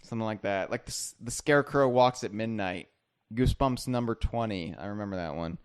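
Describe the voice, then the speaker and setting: A man's voice: lowish voice, fairly quick, clear, resonant tone. A man hosting a podcast, chatting casually in a rambling, self-deprecating, joking way. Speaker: podcast host.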